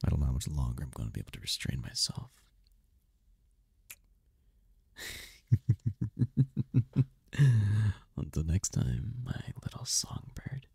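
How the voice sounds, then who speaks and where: Male actor as demon prince, ASMR roleplay, dark mellow tone, swooping intonation, gravelly, commanding, seductive. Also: wildly swooping pitch, no room sound, clean studio sound, heavily acted. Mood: tender, possessive. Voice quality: husky, gravelly low voice. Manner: regal, slow-savouring, flirtatious.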